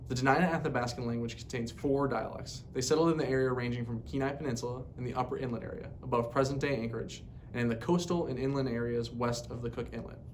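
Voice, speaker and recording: A man's voice: steady tone, fast, hardly any pauses. A man reading a scripted educational narration in a formal way, recorded on a poor microphone. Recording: poor mic, clear rumbling background noise.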